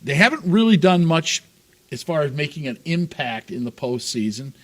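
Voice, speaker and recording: A man's voice: a little high, very animated, moderate pace. A man co-hosting a sports talk show, chatting loosely and laughing between points. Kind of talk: casual sports chat.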